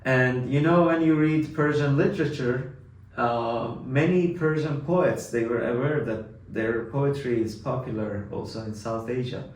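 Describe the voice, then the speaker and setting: A man's voice: mid-range voice, a little slow. A young man from Iran, talking chattily and laughing easily as he explains things. He sits back from the mic in a very echoey room, so his voice sounds distant and hollow. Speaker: host. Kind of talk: casual educational chat.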